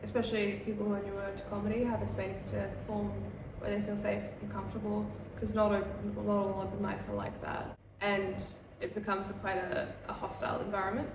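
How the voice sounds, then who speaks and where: A young woman in her twenties talks about her work as a comedian in a relaxed, rambling way, full of fillers. Her voice sits in a mid range and comes from some distance away in an echoey room. Steady background noise runs under the whole recording. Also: medium pitch, brisk, few pauses. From Australia. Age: 20s. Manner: relaxed, chatty, with many fillers like "um" and "like". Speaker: comedian and comedy night producer. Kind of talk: casual interview answers. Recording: echoey room, mic far off, noisy background.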